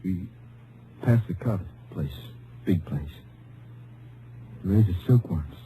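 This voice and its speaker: An actor playing a gravely wounded, grieving deputy sheriff, speaking weakly and haltingly in an old radio drama. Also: male voice, deep voice, animated, mellow. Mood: weak, dazed. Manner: halting, plain-spoken, folksy. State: weak, in pain. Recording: faintly noisy old radio recording.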